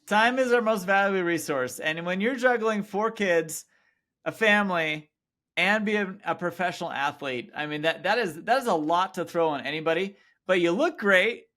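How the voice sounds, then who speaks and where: Male interview host, high bright voice, eager and encouraging delivery. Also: normal speed. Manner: enthusiastic, frequent affirmations. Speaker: podcast host. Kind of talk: upbeat interview hosting.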